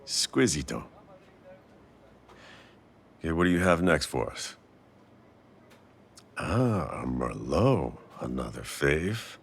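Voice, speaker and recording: Man acting a very deep-voiced, teasing boyfriend type. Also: sing-song, slow, creaky, long pauses, slight background noise, full character voice. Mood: relaxed, warm. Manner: casual, teasing, affectionate. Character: warm, teasing Italian-American restaurant owner with a secret crush. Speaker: boyfriend-roleplay voice actor. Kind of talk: intimate audio roleplay, conversational boyfriend ASMR.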